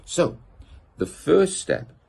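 A middle-aged man from the United Kingdom reading a children's picture book aloud, with a playful, expressive storytelling manner, filmed outdoors in a garden gazebo by a camera at desk distance. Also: drawn-out words.

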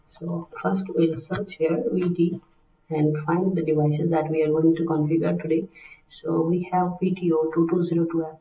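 A man narrating a software tutorial as a technical instructor, step by step with halting phrasing. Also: a little high. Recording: roomy, distant microphone.

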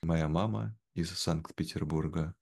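A young man speaking in a measured, careful way, as a guest in a slow conversation for language learners. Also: extremely low pitch, vocal fry, a little mellow. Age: young adult. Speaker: interview guest. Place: indoor room at home, video call. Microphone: video call, wearing headphones.

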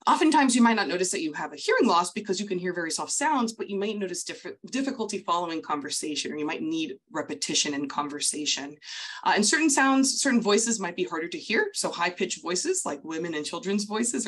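A woman with a notably low voice explains things carefully, in an even teaching manner. She speaks over a webcam mic on a video call, as in an online webinar. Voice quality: low-pitched voice. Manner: clear, explanatory, patient. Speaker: audiologist. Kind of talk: webinar presentation over slides.

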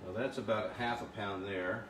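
A man's voice: lowish voice, steady tone, moderate pace. A man hosting a cooking show, chatting and explaining the dish as he cooks in a kitchen. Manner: chatty, explains while he works. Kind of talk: casual cooking demonstration. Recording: roomy kitchen sound, mic at a distance, clear background noise. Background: frying and sizzling.

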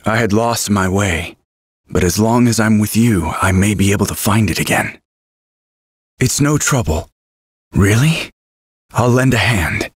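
A man voicing a calm, melancholy scholar-mage in a game, speaking quietly and reflectively. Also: lowish voice, unhurried, pauses often, breathy edge, no room sound. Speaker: game character. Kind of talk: game voice clips. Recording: dry studio recording.

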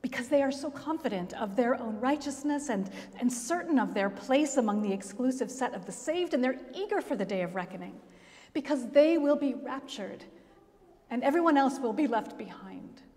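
A middle-aged woman pastor preaching a sermon at a pulpit, telling the story expressively with dramatic pauses. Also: high-pitched, animated, warm tone. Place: large church, at a pulpit. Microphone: gooseneck pulpit mic. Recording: long church reverb.

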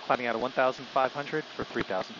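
A middle-aged man talks through an aviation headset, muffled, with light noise behind him. He sounds like a pilot, clipped and matter-of-fact, with an average-pitched voice. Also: steady tone, fast. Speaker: pilot and vlogger. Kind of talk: cockpit narration and radio readbacks. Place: small airplane cockpit. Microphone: aviation headset boom mic.

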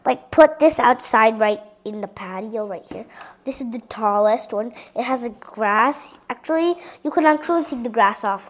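High, slow-talking female toy show-and-tell. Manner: chatty, drops to soft whispers at times. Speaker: toy reviewer. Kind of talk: casual toy tour for viewers.

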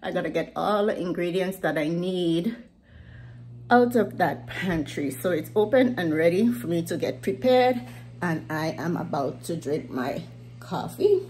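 A middle-aged woman with a Virgin Islands Caribbean accent talks to the camera in a casual vlog style. Her voice sits a little low for a woman. She is emphatic and often repeats a word or phrase to drive it home. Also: animated, unhurried, a little mellow, poor audio. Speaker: vlogger, host. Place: home kitchen, indoors.

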